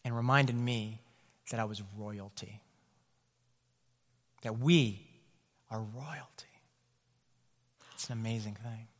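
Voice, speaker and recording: American pastor preaching in a reverberant hall. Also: male voice, mid-range voice. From California, USA. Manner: conversational, loose, many fillers. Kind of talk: sermon with personal stories.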